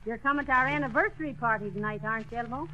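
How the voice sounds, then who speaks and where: A woman's voice: on the high side, rapid-fire, bright, forceful tone. A woman speaking cheerfully and sweetly chiding in a 1930s radio comedy. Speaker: comedy show co-lead. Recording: dull 1930s radio recording.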